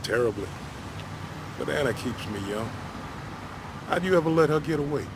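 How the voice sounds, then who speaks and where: An actor playing a blunt, folksy, world-weary middle-aged American ex-miner, friendly and wry, in naturalistic video game style. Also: male voice, a little high, lively intonation, normal speed, noisy background. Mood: wry, frank.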